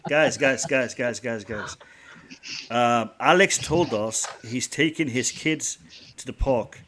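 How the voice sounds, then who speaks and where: A man's voice: mid-range voice, slow, fairly bright tone, a little hiss or hum. A man from Yorkshire hosting a casual live stream chat, who repeats himself and restarts his sentences a lot. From Yorkshire, England. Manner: repetitive, stops and restarts a lot, says "guys". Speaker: stream host.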